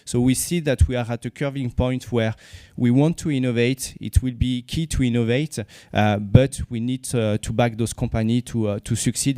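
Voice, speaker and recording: A man with a French accent presents at a conference, pausing often and restarting his sentences. His voice is smooth and resonant, and the sound is dry and close. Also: mid-range voice, mellow, no room sound. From France. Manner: halting, many fillers and restarts. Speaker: conference speaker, company CEO. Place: conference venue.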